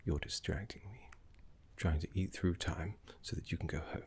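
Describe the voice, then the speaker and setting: A man voicing a gentle, fussy, scholarly older necromancy teacher in a soft ASMR roleplay, breathy and half-whispered, with polite, hesitant phrasing. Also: low voice. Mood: softly confiding. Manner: polite, hesitant, slow and deliberate.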